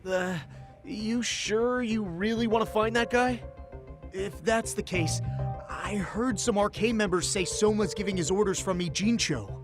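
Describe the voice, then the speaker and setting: Casual informant, notably high voice, hesitant, game cutscene. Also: male voice, unhurried, over music. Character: impressed, hesitant male informant. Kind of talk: naturalistic video game cutscene acting.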